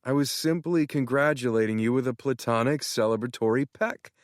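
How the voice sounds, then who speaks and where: A man voicing an excitable, flamboyant male friend who talks in theatrical gushes. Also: medium pitch, brisk, no echo, studio quality, voice pushed up, lightly acted. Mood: indignant. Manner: theatrical, gushing.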